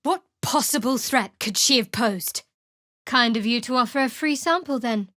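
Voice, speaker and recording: Casting: sardonic young female fantasy cleric for a game; guarded, dry, fairly high voice, close dry recording. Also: pushed and bright, no echo. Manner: dry, sardonic, measured delivery. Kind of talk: fantasy video game voice acting.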